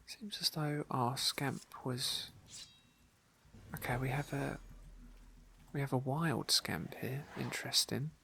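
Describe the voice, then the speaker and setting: A man narrating a game playthrough as ASMR roleplay, soft-spoken and politely wordy, with a breathy, often whispery voice close to the microphone. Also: mid-range voice, slow, pauses often, a little hiss or hum.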